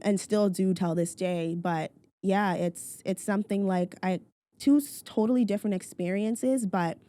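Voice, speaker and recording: A woman's voice: fairly low pitch, dark tone. A young woman from Nova Scotia, Canada, chatting as a podcast guest, often saying "like" and laughing as she talks. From Halifax, Nova Scotia, Canada. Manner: frequent "like" fillers, laughs while talking. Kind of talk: casual conversational interview.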